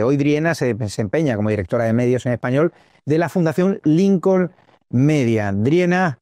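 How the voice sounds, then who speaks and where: A man's voice: medium pitch, a little mellow, no room sound, studio quality. A man hosting a political news show, giving opinionated commentary in a combative, scornful manner.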